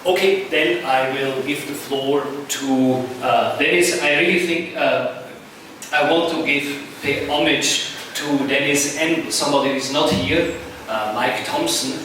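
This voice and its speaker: A man with a Swiss accent chairs a conference panel, speaking English as a second language in halting phrases full of "uh." His voice sits at an ordinary pitch and reaches the mic from a distance in a roomy, echoing hall with steady background noise. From Switzerland. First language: not English. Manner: halting, many "uh" fillers, warm and earnest. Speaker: journal editor chairing a session. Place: conference room.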